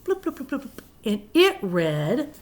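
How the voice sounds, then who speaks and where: A woman teacher reading a picture book aloud to young children, animated and expressive, with chatty asides. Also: on the high side, a little slow.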